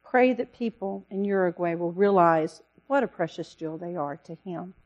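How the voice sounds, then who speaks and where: A woman missionary giving an earnest, pleading talk to a church congregation, with a loud voice. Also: on the low side, moderate pace, muffled recording. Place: church service.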